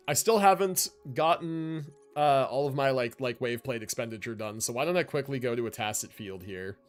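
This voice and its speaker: A man with a slightly high, lively voice chats casually through a game livestream. He is slangy and laid-back but enthusiastic, swearing freely, with game music faintly behind him. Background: video game music and character voices.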